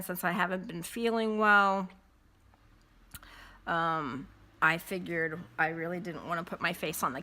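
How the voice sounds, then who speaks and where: Woman, congested, slow talking, lowish voice, casual stitching vlog at home. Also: sharp tone. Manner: unhurried, chatty, friendly. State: congested from a cold. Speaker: cross-stitch vlogger. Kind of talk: casual chat while stitching. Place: at home with windows closed.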